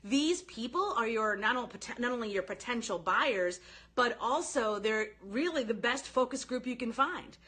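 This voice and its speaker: Female online sales coach, higher-pitched and very bright, informal and animated, speaking straight to a live audience of commenters. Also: moderate pace. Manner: animated, informal, addresses listeners directly, quotes sample phrases.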